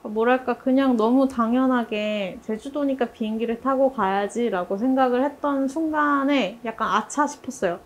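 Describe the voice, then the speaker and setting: A woman's voice: fairly high pitch, normal speed, hardly any pauses. A woman hosting a casual team discussion as a director, chatty and polite, thinking aloud as she talks. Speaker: theatre director hosting a team review interview.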